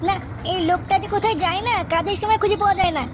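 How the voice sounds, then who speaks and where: Woman doing naggy cartoon housewife, really high pitched-up voice, talks fast, accusing, noisy track. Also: fairly even intonation. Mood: nagging. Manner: nagging, accusatory. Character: scolding, sharp-tongued young cartoon housewife.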